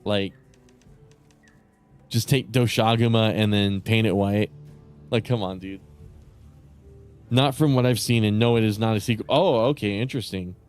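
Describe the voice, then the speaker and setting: A man chatting casually with viewers on a live stream, rambling and swearing with lots of fillers, his voice starting to go raspy. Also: on the low side, steady tone, moderate pace, long pauses, faint music behind. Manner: rambling, profane, many fillers and restarts. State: voice getting raspy.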